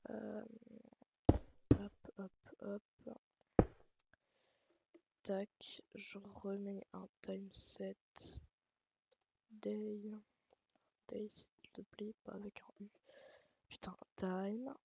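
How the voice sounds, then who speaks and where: A woman's voice: mid-range voice, little pitch movement, extremely slow, vocal fry, pauses often, highs cut off. A woman with a light Alsatian accent giving a rambling, casual game tutorial, swearing and making self-mocking jokes. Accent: Alsatian French. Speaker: gaming channel host. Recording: loud background noise.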